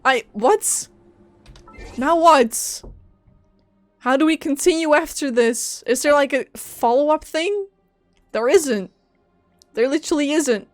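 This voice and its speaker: High-voiced young woman streaming a game. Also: takes it slowly, pauses often, no echo, faint music behind. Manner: playful, slangy, reactive. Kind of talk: casual live gameplay reaction.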